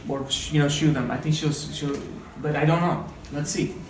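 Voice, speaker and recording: A man reading a story aloud as a teacher, with dramatic, deliberate phrasing and questioning asides. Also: a little high, fairly even intonation. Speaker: read-aloud teacher and trainer. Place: indoor room, training session. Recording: echoey room, distant mic, background noise.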